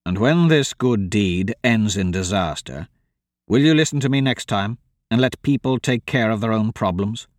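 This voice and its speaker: A man voicing a brooding, cynical rogue in a fantasy audiobook, speaking curtly in a dry deadpan. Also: moderate pace, no room sound, natural voice. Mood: sullen. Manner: low-key, dry, deadpan. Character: cynical, brooding male rogue, curt and unsentimental.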